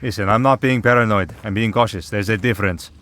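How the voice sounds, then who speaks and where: Guy doing old immortal vampire priest, deep-ish voice, wry and protective, audio roleplay style. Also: fast talker, fairly bright tone, natural voice. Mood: exasperated, dry. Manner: dry, sardonic, reassuring.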